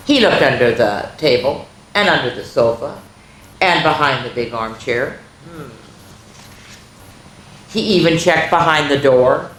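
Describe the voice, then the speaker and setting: An older woman hosts a children's storytime, reading aloud and chatting in an expressive, folksy way straight to young listeners. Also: sits low. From rural United States, farm. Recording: echoey room with background noise.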